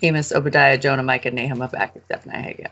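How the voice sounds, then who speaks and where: A woman's voice: a bass voice, little pitch movement, very fast, fairly bright tone. A woman in her fifties co-hosting a podcast, chatting casually and teasing playfully. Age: 50s. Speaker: podcast co-host. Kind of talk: casual chat. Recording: slightly muffled podcast recording.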